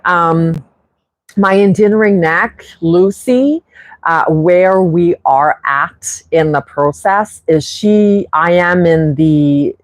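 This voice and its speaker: Brief: unhurried middle-aged woman, Quebec French accent, live-stream host, lowish voice, dry close sound. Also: dry recording. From Quebec, Canada. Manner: unhurried, restarts often, reads viewer comments. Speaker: bird-keeping channel host. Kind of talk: casual livestream chat.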